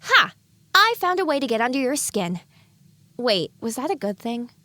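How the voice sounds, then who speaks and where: A woman playing a young female earth pony, anxious, sassy and excitable, voicing her inner thoughts in an audio drama. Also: high voice, moderate pace, no echo, full character voice. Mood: smug. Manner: animated inner monologue.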